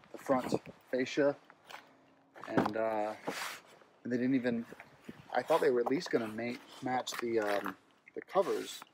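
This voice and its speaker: A man's voice: medium pitch, background noise. Man chatting through a car walkaround. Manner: chatty, thinking aloud with fillers and restarts. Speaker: car vlogger. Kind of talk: casual walkaround commentary. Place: outdoors at a car dealership lot, then inside a car. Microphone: handheld phone camera.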